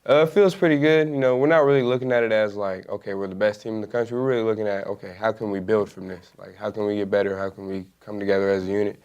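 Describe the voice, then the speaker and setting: A young college athlete answers questions in a relaxed, offhand way, leaning on fillers. His voice sits low and the words come fast. The recording is dull and lo-fi. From Las Vegas, Nevada. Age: young adult. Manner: laid-back, many fillers like "you know" and "like". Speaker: college basketball player. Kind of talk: casual interview answers.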